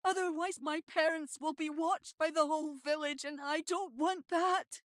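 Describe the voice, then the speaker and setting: Very high-pitched unhappy wife played by a woman. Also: fairly even intonation, normal speed, no room sound, studio quality, lightly acted. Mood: resigned, bitter. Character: unhappy young wife and mother, bitterly reproachful. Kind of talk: scripted visual novel dialogue.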